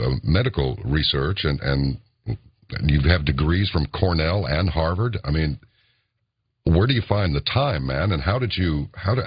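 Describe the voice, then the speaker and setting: A man's voice: very deep voice, husky, no echo, highs cut off. A male radio host asking long, rambling, self-correcting questions of his guest.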